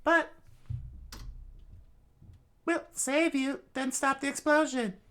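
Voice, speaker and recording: A man doing a shrill eager girl sergeant. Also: steady tone, slow, bright, forceful tone, heavily acted. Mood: urgent. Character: eager young female sergeant.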